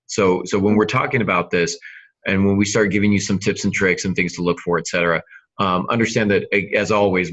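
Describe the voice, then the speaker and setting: Male podcast host, very low voice, explanatory and measured, slightly dull lo-fi sound. Conversational mentor delivery. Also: pushed and bright. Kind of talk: conversational writing-advice podcast.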